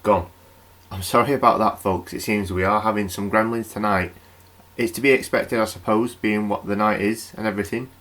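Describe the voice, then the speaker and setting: A man's voice: on the low side, steady tone, a little fast, a bit bright, close to an everyday voice. An actor playing a chatty, wry male local radio night host. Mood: mildly flustered.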